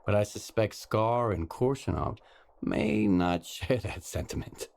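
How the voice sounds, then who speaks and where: An actor playing a steady, pragmatic stalker hero in a video game, with an understated, composed manner. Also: male voice, lowish voice, a little slow, vocal fry. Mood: wry. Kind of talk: dramatic video game dialogue.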